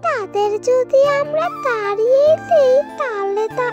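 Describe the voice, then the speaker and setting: A woman's voice: extremely high pitch, measured pace, dull audio. A woman voicing a tender-hearted young daughter in a children's cartoon, with music behind her. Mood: gentle.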